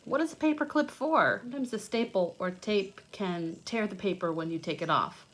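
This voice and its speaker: A woman's voice: mid-range voice, animated, moderate pace, rough recording. A woman giving a hands-on read-aloud lesson for young children, explaining simply and step by step as she thinks aloud. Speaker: children's teacher reading aloud and demonstrating. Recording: noticeable background noise, homemade video.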